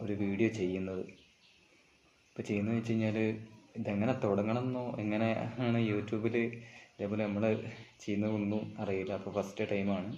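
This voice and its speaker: A man. He moves step by step in short, halting phrases, like an amateur vlogger. The recording is somewhat dull and lo-fi. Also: lowish voice, a little mellow, slight background noise. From Kerala, India. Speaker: amateur vlogger giving an app tutorial. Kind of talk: informal step-by-step tutorial narration.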